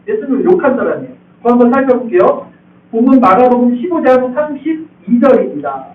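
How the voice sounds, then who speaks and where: A male pastor preaching to his congregation, talking with them as he goes and checking whether they follow. His voice sits very high and moves a lot. He sounds far from the microphone, and the thin, tinny sound echoes around a large church hall. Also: very animated, brisk. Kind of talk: expository sermon. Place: church sanctuary.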